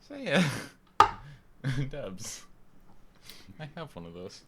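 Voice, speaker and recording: A man's voice: mid-range voice, huge pitch swings, very slow, very noisy. A young man co-hosting a casual podcast, giggly and full of crude jokes. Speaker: podcast co-host. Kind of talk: casual chat.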